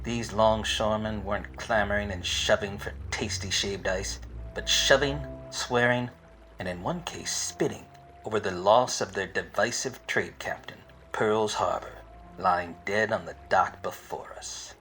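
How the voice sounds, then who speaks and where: A man acting a low, crisp wisecracking private eye. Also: measured pace, rough recording. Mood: brooding deadpan. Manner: deadpan, wordy noir narration. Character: wise-cracking, obtuse hard-boiled male private eye. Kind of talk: 1940s detective radio play parody.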